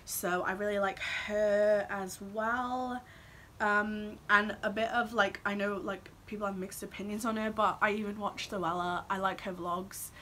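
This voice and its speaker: A woman's voice: medium pitch, steady tone, a little slow, pushed and bright, slight background noise. A woman, chatting casually and ramblingly to camera as a vlogger, saying "like" often. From Wales and Australia. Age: 20s. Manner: rambling, informal, heavy use of "like".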